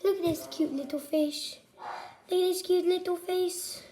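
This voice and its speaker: A child with a high voice talking casually and ramblingly to viewers as a vlogger. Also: steady tone, a little slow, dark tone.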